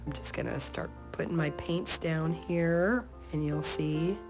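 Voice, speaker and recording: A woman with a slightly hoarse voice, giving a casual, halting painting tutorial as the host. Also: low-pitched, over music. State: slightly hoarse from being sick. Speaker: host of an art tutorial channel.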